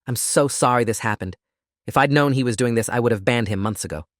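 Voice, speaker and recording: A man's voice: mid-range voice, quick pace, no room sound, clean studio sound, natural voice. A man voicing a firm, authoritative female gym manager in an audiobook story. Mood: apologetic.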